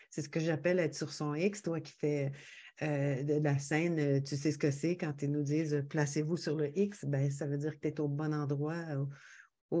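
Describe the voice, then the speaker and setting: A woman's voice: low-pitched, dark tone. A woman from Quebec with a Quebec French accent, a podcast guest talking casually with many fillers over a video call. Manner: hesitant, many fillers, laughs easily. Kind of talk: casual conversational interview.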